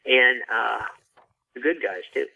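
A man's voice: medium pitch, unhurried, vocal fry, highs cut off. A man chatting casually as an interview guest, over a remote call. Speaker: author guest.

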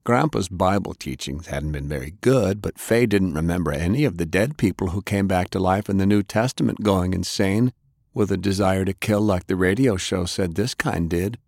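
Deep voiced guy narrating an action novel, dramatic read, super dry studio sound. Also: normal speed, vocal fry, a little mellow, no echo. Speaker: audiobook narrator.

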